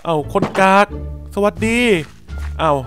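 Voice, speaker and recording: A man doing animated, colloquial improvised roleplay for a game video, full of exclamations. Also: slow pace, soft background music. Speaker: creator voicing characters in a Minecraft roleplay video.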